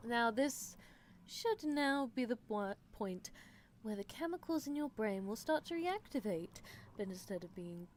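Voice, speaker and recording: A woman's voice: on the high side, animated, slight background noise. A woman voicing an eerie, playful mad-scientist horse girl in an ASMR roleplay, teasing and murmuring as she dotes on her test subject. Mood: musing, amused. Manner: teasing, unhurried, murmured musing with hums.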